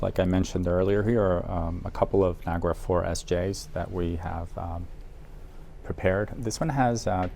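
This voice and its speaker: A man's voice: sits low, vocal fry, a little mellow. A man presenting a casual product guide in an audio shop, talking informally and with enthusiasm for the gear. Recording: noticeable background noise, room ambience.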